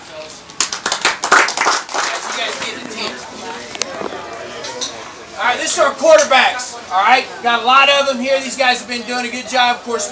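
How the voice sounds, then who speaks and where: A man's voice: extremely high pitch, background noise. A man coaching football, casually introducing his players to a crowd with an upbeat, loose manner. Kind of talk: informal team introductions to a crowd. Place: outdoor sports event. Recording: echoey, distant mic with loud music.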